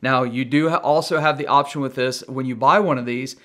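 Middle-aged man, American accent, medium pitch, conversational, plain-spoken, casual gadget review. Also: fairly even intonation, brisk, pushed and bright. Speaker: gadget reviewer and video host. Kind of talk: casual product review to camera.

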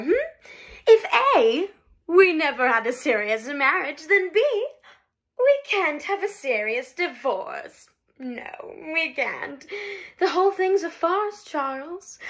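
A woman acting out a heartbroken wife refusing a divorce, near tears and swinging between hushed whispers and loud outbursts. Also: high-pitched, exaggerated voice. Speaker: actress performing a monologue. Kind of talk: comic stage monologue.

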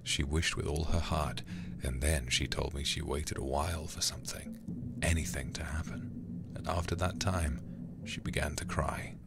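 Super low voiced guy doing asmr game stream, whispery, mic right up close, noisy background. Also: steady tone. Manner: hushed, breathy whispering, slow deliberate reading. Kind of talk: ASMR whispered game playthrough, reading text aloud. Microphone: close-up ear-to-ear ASMR microphone.

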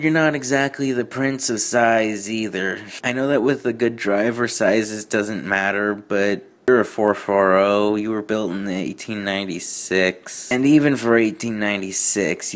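A man's voice: fairly low pitch, lightly acted. A man voicing a blunt, mocking male steam engine in a fan story, arguing in a dismissive way. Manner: argumentative, blunt.

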